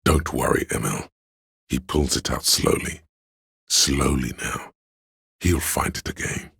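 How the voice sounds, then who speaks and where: A man with a gravelly, husky voice reading scripted literary narration for a video game in a measured, solemn, deliberate way. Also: very deep voice, fairly bright tone, no room sound, studio quality.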